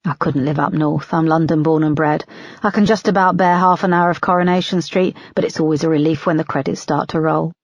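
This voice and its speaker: A woman's voice: deep voice, fairly even intonation, a little fast, no echo, dull audio. A woman reading an audiobook, voicing a blunt, sarcastic female detective born in London with a broken nose. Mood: wry.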